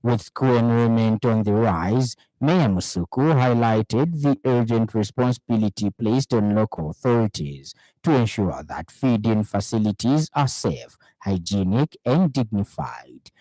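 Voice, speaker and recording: A man with a Zambian accent reading a scripted radio news bulletin in a formal, measured manner. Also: on the low side, animated, mellow, dry recording, poor audio. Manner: formal, measured newsreader delivery.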